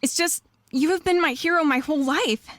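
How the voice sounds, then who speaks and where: Woman as an earnest, high-voiced wolf lawyer. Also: brisk, a bit bright, no room sound, clean studio sound. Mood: eager, admiring. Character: earnest, admiring female wolf lawyer, professional. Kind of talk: radio drama dialogue.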